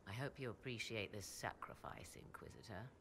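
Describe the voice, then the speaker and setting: An actress playing a proud, sardonic sorceress in a video game, with archaic, formal, haughty diction. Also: female voice, very deep voice, normal speed, fairly bright tone, a little hiss or hum. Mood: dry, sardonic. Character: proud, sardonic sorceress, devoted mother and lover. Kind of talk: dramatic video game dialogue.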